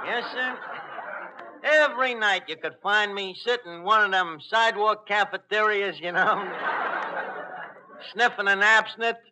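A man's voice: high voice, unhurried, long pauses. A man playing a flirtatious, boastful wise guy in a 1940s radio comedy, with hesitant, mangled patter. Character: bluffing, flirtatious male tavern wise guy mangling French. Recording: noisy old radio recording with clicks.

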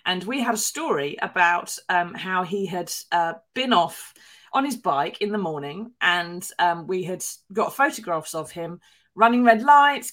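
A woman's voice: mid-range voice, a bit bright. A woman co-hosting a news podcast, telling stories in a chatty, wry way. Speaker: podcast co-host, newspaper columnist. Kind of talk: casual news chat.

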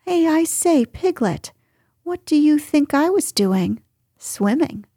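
Tiny storybook kangaroo boy played by a woman: squeaky, high, excitable, proudly boastful. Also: takes it slowly, husky, no echo, clean studio sound, exaggerated voice. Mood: excited, proud. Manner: excited, boastful, repeating himself. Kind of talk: children's storybook character voice.

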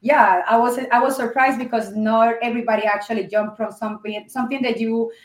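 A woman's voice: on the high side, steady tone, brisk, echoey room. A woman, chatting as a guest on a podcast, searching for words and laughing easily. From Colombia. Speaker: guest, pro wrestler. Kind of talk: casual interview chat.

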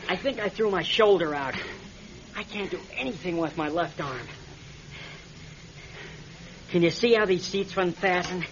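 A man's voice: high voice, unhurried, long pauses. An American man acting in a radio drama as an injured, practical, defensive pilot stranded after a crash. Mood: practical, urgent. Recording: dull, noisy old radio recording.

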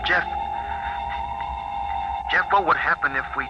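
A man's voice: a little high, takes it slowly, low-quality recording. An actor playing a frightened, suffering young spaceman, plaintive and anxious over a radio link in an old radio drama. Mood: scared, suffering. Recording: vintage radio broadcast with music.

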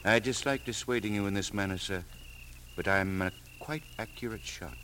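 An actor playing a courteous, menacing chauffeur with stiffly formal phrasing in a 1940s radio crime drama. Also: male voice, lowish voice, normal speed, bright, forceful tone. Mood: coolly threatening. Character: polite, menacing male chauffeur turned gunman, coolly formal. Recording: noisy vintage radio recording.

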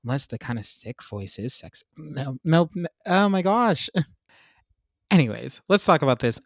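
A young man hosting his own podcast in a casual, upbeat, self-mocking chat, a little congested and clearing his throat. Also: on the high side, animated, a little slow, no room sound, clean studio sound. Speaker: podcast host.

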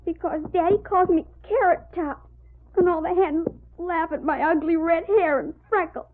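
A woman's voice: extremely high pitch, moderate pace. An actress playing a stubborn, hurt little runaway girl in a radio drama, pouty and plaintive. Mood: defiant. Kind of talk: old-time radio western acting. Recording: muffled, lo-fi vintage radio recording.

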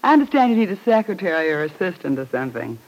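A woman's voice: lowish voice, big pitch swings, rapid-fire, rough recording. An actress playing a haughty, pushy young society woman with arch, mannered politeness in a 1940s radio soap opera. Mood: arch, calculating. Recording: old radio drama recording.

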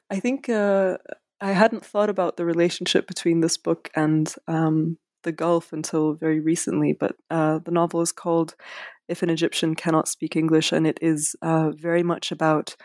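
A woman's voice: low voice, little pitch movement, unhurried, a little mellow, no room sound, clean studio sound. A woman author speaking in an interview, hesitant, with many fillers and restarts. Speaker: author guest. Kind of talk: casual interview.

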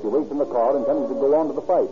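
A man with a husky voice reading scripted narration for an old radio crime drama. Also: medium pitch, very fast, over music, natural voice. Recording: muffled old radio recording.

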